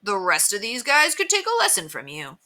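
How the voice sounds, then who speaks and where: Storybook read-aloud: a brisk, encouraging male manager, as a woman reads him. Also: medium pitch, wildly swooping pitch, sharp tone. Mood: praising. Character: friendly middle-aged male district manager.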